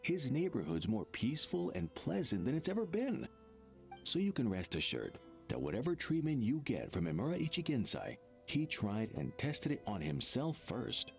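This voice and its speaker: Smug, animated true believer. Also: male voice, mid-range voice, normal speed, gravelly, soft background music. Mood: confiding, insistent. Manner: condescending, confiding, emphatic. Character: smug, gossipy male true believer, condescending. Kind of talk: anime game voice acting.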